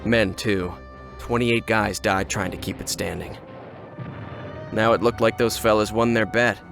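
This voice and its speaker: A weary WWII paratrooper narrator. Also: male voice, on the low side, steady tone, measured pace, vocal fry. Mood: reflective. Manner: plainspoken, wry, weary. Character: American WWII paratrooper recalling the war. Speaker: soldier narrator. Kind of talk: game cutscene narration. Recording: over loud music.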